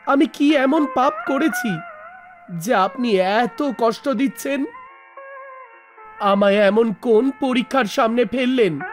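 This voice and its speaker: A cartoon moral-story character, acted by a man: a poor, devout rickshaw driver with an aggrieved, pleading way of talking. He speaks earnestly and plaintively. Music plays clearly behind his voice. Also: very high-pitched. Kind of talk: animated moral story dialogue. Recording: music under the voice.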